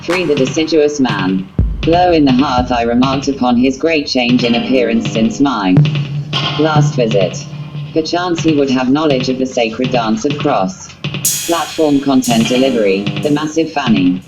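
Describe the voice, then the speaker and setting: Female story narrator, very deep, literal text-to-speech delivery, guitar backing. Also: unhurried, low-quality recording. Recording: music under the voice. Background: guitar music.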